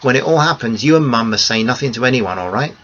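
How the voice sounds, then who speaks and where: A man's voice: mid-range voice, expressive, fast talker, fairly bright tone, slight background noise, close to an everyday voice. A man reading the part of a determined young woman, speaking firmly and earnestly to friends and family. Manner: firm, earnest pleading. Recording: dull lo-fi recording.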